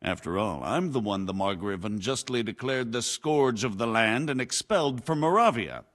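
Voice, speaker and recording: An actor playing a scheming imprisoned knight who talks slyly and self-pityingly in a video game cutscene. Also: male voice, mid-range voice, lively intonation, moderate pace, a bit bright, natural voice. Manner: sly, wheedling, self-pitying. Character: scheming imprisoned robber knight, older man.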